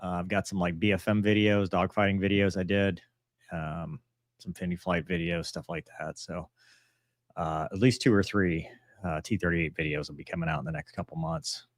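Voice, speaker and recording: A man's voice: sits low, normal speed, creaky, no echo, clean studio sound. A man hosting a casual live question-and-answer stream, talking in a rambling, matter-of-fact way that often trails off. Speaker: live stream host answering viewer messages; pilot and author.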